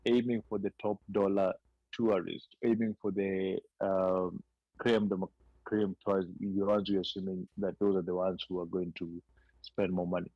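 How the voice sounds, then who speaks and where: A man's voice: low-pitched, dark tone, husky, no room sound, muffled recording. A man co-hosting a talk show, explaining at length and often repeating words as he restarts. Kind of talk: casual conversation.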